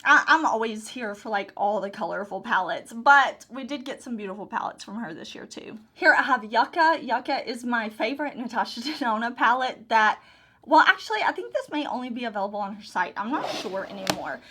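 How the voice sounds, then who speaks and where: A woman's voice: a little high, animated, brisk, sharp tone, slightly rough. A woman casually talking through her makeup collection, gushing and enthusiastic, repeating her favorite phrases. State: hoarse, recovering lost voice. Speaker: beauty YouTuber. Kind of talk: casual product overview.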